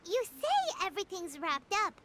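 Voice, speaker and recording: A woman voicing a tiny, childlike, excitable fairy companion in a video game, bubbly and blunt. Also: very high voice, normal speed, very noisy, heavily acted. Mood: worried, insistent. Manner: bubbly, blunt, refers to herself by name.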